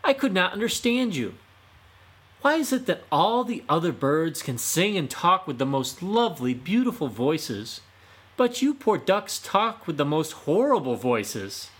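This is a cunning, mocking fox from a storybook, with a man voicing him. The voice sits high and bright above the narrator's own, loud and gleeful. It swoops widely and carries a breathy, husky grain. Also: sing-song, unhurried, full character voice. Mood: sly. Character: sly, mocking male fox trickster. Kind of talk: storybook reading.

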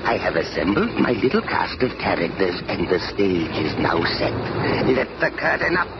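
Gloating, swooping villain. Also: male voice, low-pitched, sing-song, moderate pace. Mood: gloating, triumphant. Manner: theatrical, menacing, grandiose. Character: gloating, scheming male puppet-master supervillain. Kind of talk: 1970s radio drama acting. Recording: muffled, very noisy old radio recording.

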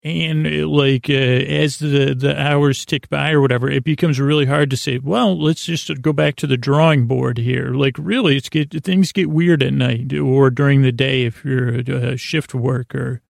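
A man hosting a sleep podcast, talking in a meandering, halting way from a small closet. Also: mid-range voice, fairly even intonation, vocal fry, no room sound, studio quality. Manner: meandering, halting, many restarts and fillers. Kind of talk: rambling bedtime monologue.

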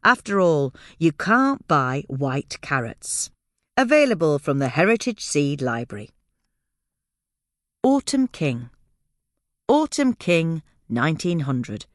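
Female narrator for scripted gardening podcast: animated pitch movement, measured explanatory read, very dry close sound. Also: dry recording.

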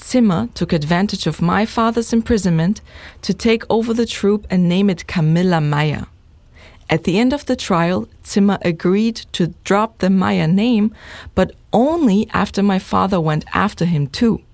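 A woman acting as a young female acrobat with a grievance in an audio play. She is contemptuous and pointed, at a middling pitch, in a very dry recording. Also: moderate pace, a little mellow, no room sound, natural voice. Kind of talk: acted testimony in an audio play.

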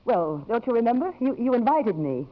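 An actress playing a poised, strong-willed middle-aged career woman in a 1950s radio drama, crisp and commanding, hesitating when moved. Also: fairly high pitch, very animated, moderate pace. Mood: rueful, tender. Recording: muffled vintage 1950s radio recording.

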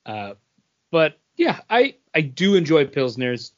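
A man co-hosting a podcast, explaining things casually and haltingly. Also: on the high side, big pitch swings, highs cut off. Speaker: podcast co-host. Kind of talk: casual chat.

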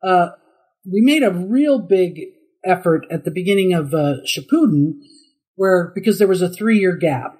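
A middle-aged man with a high tenor voice, a guest voice actor telling chatty anecdotes in an interview, laughing often and acting out the quotes. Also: expressive, unhurried, a little mellow, breathy edge, poor audio. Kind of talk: casual interview storytelling.

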